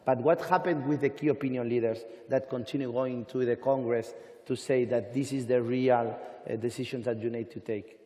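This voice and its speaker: A man on an industry panel, speaking deliberately with halting, non-native phrasing. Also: medium pitch, fairly even intonation, mellow. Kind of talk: panel discussion. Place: conference hall stage. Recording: hall reverb from conference stage.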